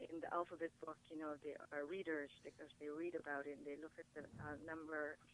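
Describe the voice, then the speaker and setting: A woman's voice: sits low, little pitch movement, fast, a bit bright, rough recording. A woman from the Philippines whose first language is Tagalog, talking eagerly as a guest author in a TV studio interview. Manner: upbeat, eager explaining. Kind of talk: casual interview talk.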